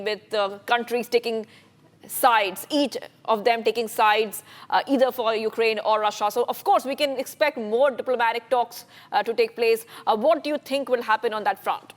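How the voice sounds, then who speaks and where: Reverberant, higher-pitched woman news anchor. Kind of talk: live TV news interview questioning.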